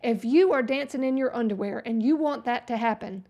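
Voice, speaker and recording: A fairly high-voiced woman, hosting a relaxed livestream tutorial. She talks through each click in a chatty, folksy way, and her voice is wearing hoarse. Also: a little fast, dull audio. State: losing her voice. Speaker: web design livestream host. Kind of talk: casual screen-share tutorial chat.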